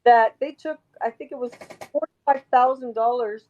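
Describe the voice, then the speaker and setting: A woman with a raspy voice, talking emphatically as an interview guest, rambling and restarting her sentences. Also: a little high, normal speed, narrow, tinny audio. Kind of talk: casual interview talk.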